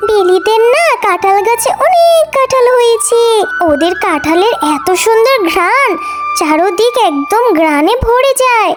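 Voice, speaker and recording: Very squeaky innocent little schoolgirl. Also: very animated, normal speed. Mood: eager. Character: eager, innocent young schoolgirl animals in a village. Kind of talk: children's cartoon dialogue. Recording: music behind the voice.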